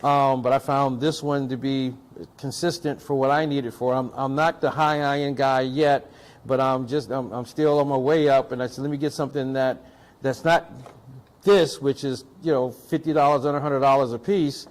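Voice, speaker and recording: A man's voice: medium pitch, darkish tone. A man hosting a tech review show, talking casually and in a rambling way, often restarting and repeating himself as he unboxes gear. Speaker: audio and video production technician. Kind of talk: casual product unboxing talk.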